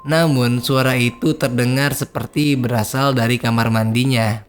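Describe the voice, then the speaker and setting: A man's voice: mid-range voice, fairly even intonation, normal speed, soft background music. A man, hosting a casual, slangy horror film recap for his viewers. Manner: slangy, chatty, addresses viewers as guys. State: hoarse. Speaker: film review host.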